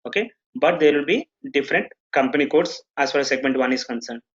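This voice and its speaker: Man teaching software setup, muffled sound. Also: on the high side, expressive, quick pace. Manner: explanatory, full of "okay" checks and restarts. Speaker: software trainer. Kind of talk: live online training session.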